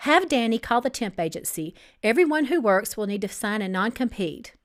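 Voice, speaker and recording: A tough young businesswoman , as a woman reader acts her out from a novel. She is firm and exasperated, with a high voice and wide, lively swings in pitch. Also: natural voice. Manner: firm, dry, brisk.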